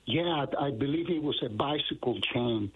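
An older man from Puerto Rico calls in over a tinny phone line, his voice husky. He talks earnestly and rambles, with a lot of fillers. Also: mid-range voice, normal speed. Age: 60s. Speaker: caller. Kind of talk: phone-in call.

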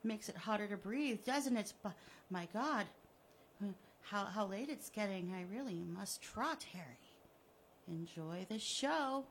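A sadistic killer who gloats over his captive, purring threats in a slow, mocking drawl, as a woman puts him on. The voice is gravelly with fry, and the delivery crawls. Quiet menace sits under the teasing. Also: mid-range voice, lively intonation, slight background noise. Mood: taunting. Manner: mocking, softly menacing, drawn out.

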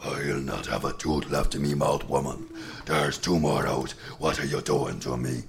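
A man's voice: very deep voice, moderate pace, creaky. A man voicing a boastful, greedy male giant, blustering and growling in a told folktale. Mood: outraged. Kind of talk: storytelling performance.

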